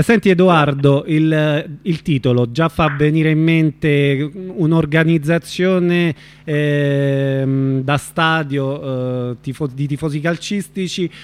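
A man's voice: on the high side, smooth. A man hosting a live radio interview in an informal way, often feeling his way through his questions. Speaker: radio show host interviewing film guests.

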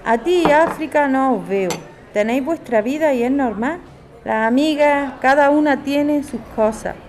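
A woman's voice: sits high, a little slow, darkish tone. A woman with a Spanish accent from Spain playing a resolute older mother in a radio serial. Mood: wistful. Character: warm, resolute mother starting life with a companion. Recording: crowd chatter behind the voice.

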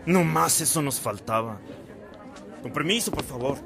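A man's voice: on the high side, lively intonation, unhurried, vocal fry. A male actor playing an irritated, curt priest in a radio drama. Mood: annoyed. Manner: curt, grumbling. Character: irritated, brusque, jealous priest. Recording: noisy outdoor crowd-scene sound bed.

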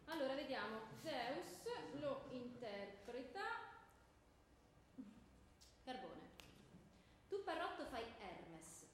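A stern female theatre teacher, rather high in voice, pronounces myths and marks like a schoolmistress. The room rings heavily around her, with clear noise underneath. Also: animated, slow, long pauses, very echoey. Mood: stern, instructive. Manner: schoolmistress-like, declarative, handing out marks. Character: stern theatre teacher grading her pupils while narrating myth. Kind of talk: stage comedy adapted for radio.

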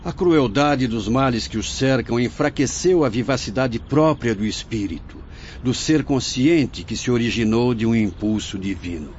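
A man's voice: mid-range voice, normal speed, a little mellow, lightly acted. Actor as a solemn fatherly Brazilian spirit mentor, muffled audio. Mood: solemn, sorrowful. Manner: solemn, didactic, declamatory. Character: grave fatherly spirit director instructing his apprentices. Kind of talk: radio drama acting in a spiritist serial. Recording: muffled lo-fi audio with faint noise.

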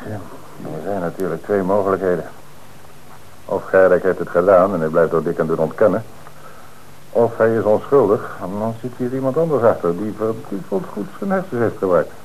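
An actor playing a calm, methodical police commissioner in an old radio play, speaking in a measured, firm way. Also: male voice, sits low, a little hiss or hum, poor audio. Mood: thoughtful. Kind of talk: old Dutch radio drama acting.

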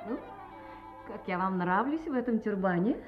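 An actress playing a spirited, playful young woman in an audio drama, frank, affectionate and expressive. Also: medium pitch, normal speed, natural voice. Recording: slightly dull audio with music.

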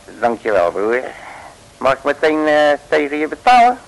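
Casting: folksy detective, old radio play; blustering, jovial, very animated intonation. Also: male voice, fairly high pitch, normal speed, low-quality recording. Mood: cocky. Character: cocky, folksy male detective, slangy and self-assured. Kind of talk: 1960s Dutch radio play acting.

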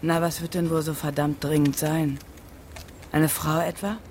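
Radio-play witness, a married woman who is defiant and sharp-tongued. She answers curtly, with sarcasm and evasion. Light background noise sits under her voice. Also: low voice, little pitch movement, moderate pace. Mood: sarcastic, evasive. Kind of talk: German radio play acting.

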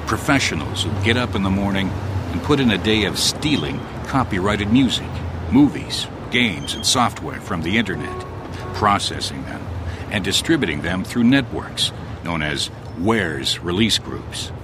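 A man giving a formal public statement, speaking firmly and deliberately. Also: deep voice, sing-song, noisy background. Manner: firm, deliberate.

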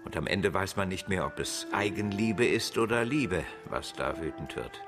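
An actor playing a proud, bitter, erudite betrayed husband in a German radio crime drama, articulate and disdainful, with a husky voice. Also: male voice, deep voice, animated, normal speed, music in the background. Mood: bitter, resigned. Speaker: actor playing a murder suspect.

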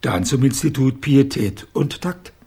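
An actor playing a blunt, confident old former private detective with a husky voice in a radio crime drama. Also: male voice, medium pitch, expressive, a little slow, warm tone. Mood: confident, suspicious. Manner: blunt, assertive. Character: gruff, confident old male ex-private detective, gang member.

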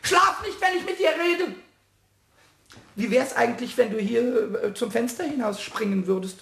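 A man playing a cheeky, childish little kobold in a German children's radio play, with a squeaky voice, boastful, easily offended and stammering when worked up. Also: normal speed, gravelly, a bit bright, echoey room, full character voice. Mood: scornful, taunting.

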